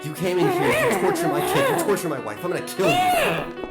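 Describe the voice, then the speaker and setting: Man playing a frantic father with swinging pitch. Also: wildly swooping pitch, fairly quick, vocal fry, heavily acted. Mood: frantic, furious. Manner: agitated, stammering, theatrical. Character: frantic angry father battling a monster in his house. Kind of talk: audio horror drama acting. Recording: roomy echo under music.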